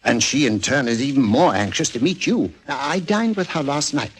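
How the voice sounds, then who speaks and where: An actor playing an eager, courteous museum curator, scholarly and hesitant, in 1940s radio drama style. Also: male voice, medium pitch, animated, normal speed, natural voice. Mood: sly, genial. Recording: noisy old 1940s radio recording.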